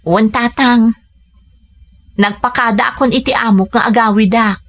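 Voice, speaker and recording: An actress playing a dutiful, weary young Filipina daughter with an Ilocano accent, earnest and plaintive in a radio drama. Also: female voice, on the high side, steady tone, a little slow. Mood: gentle, hesitant. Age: young adult.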